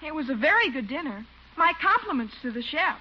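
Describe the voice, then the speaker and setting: A woman's voice: high voice, big pitch swings, a little fast. A woman playing a precocious, prim young boy musician in an old-time radio comedy, speaking formally and politely. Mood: prim, self-assured. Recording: dull, noisy vintage radio recording.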